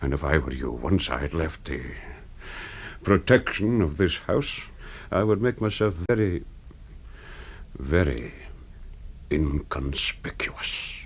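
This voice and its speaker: The older master of a secretive house in a radio play. His voice is very low and he speaks formally, with measured, cool condescension and a threat beneath the politeness. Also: expressive, slightly rough, full character voice. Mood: quiet menace. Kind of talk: radio drama acting.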